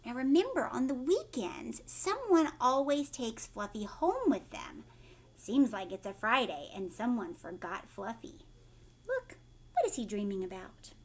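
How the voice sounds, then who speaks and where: A woman with a high voice reads a picture book to young children. Her delivery swings up and down expressively, and she steps out of the story to put questions to her listeners like a classroom teacher. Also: very animated, measured pace. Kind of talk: read-aloud storytelling with asides to young listeners.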